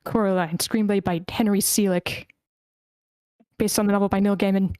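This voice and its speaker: A woman's voice: mid-range voice, fairly even intonation, moderate pace, a little mellow, smooth, dry recording, clean studio sound. A woman hosting and narrating an informal online screenplay reading, casual and prone to interrupting herself. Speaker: table read host and narrator.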